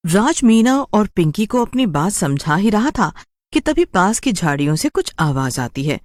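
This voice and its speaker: A woman's voice: mid-range voice, sing-song, measured pace, darkish tone, dry recording, studio quality. A woman narrating a scripted story in a dramatic storytelling style.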